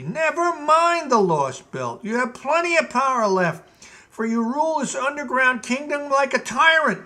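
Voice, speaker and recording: A man's voice: extremely high pitch, pushed and bright, voice pushed up, full character voice. A man voicing a tired, patient male gnome steward who reasons with his king in a storybook reading.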